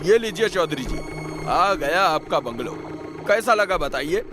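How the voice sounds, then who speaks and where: A man playing a chatty, folksy property broker, effusive and eager to please in a comic animated story style. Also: fairly high pitch, a little slow, over music. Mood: excited, welcoming. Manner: effusive, colloquial, ingratiating.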